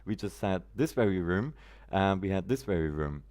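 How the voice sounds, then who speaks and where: A man's voice: deep voice, expressive, moderate pace, darkish tone. A man from Germany, chatting casually on a gameplay live stream, greeting viewers by name in quirky, roundabout phrasing.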